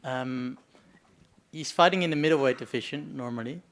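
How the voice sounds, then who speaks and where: A man poses questions to fighters at a press conference. His voice sits in a middle register, and he pauses hesitantly while forming each question. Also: mid-range voice.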